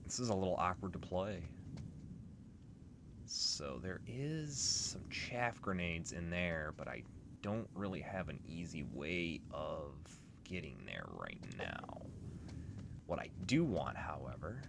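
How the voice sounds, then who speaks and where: Very low-voiced man commentating on gameplay. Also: big pitch swings, takes it slowly. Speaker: let's play host. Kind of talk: casual game commentary. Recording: clear noise behind commentary.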